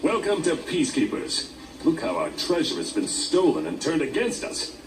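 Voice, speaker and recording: A man voicing a gentle elder dragon giving gameplay hints. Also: on the high side, huge pitch swings, moderate pace. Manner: gentle, advising. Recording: echoey distant game audio with music.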